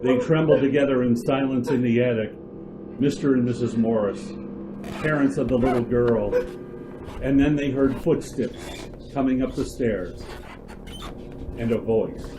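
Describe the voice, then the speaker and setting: A man's voice: mid-range voice, measured pace, pauses often. A man narrating a suspense radio drama in a dramatic, scripted storytelling style. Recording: noisy amateur radio drama recording.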